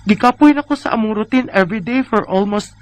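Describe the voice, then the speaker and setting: A woman's voice: on the high side, a little fast, lightly acted. A woman in her thirties with a Bisaya Filipino accent, playing a weary, discontented wife in a plaintive, sighing way for a radio drama. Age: 30s. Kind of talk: Bisaya radio drama acting and letter narration.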